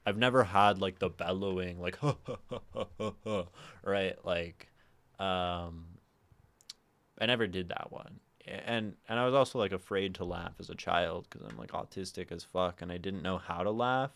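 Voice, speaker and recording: A man with a naturally low voice, hosting a livestream and musing softly and aimlessly with many fillers. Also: unhurried, vocal fry. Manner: soft, meandering, lots of fillers; prefers talking quietly and a little higher. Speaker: livestream host. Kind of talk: casual livestream chat.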